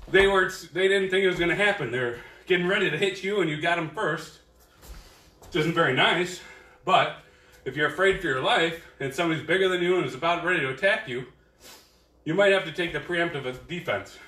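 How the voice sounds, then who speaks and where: A man's voice: sits high, pushed and bright. A man teaching an online karate class, counting drills aloud and explaining self-defense with an easygoing, joking manner. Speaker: karate instructor. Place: dojo training room. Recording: echoey room, voice from far off.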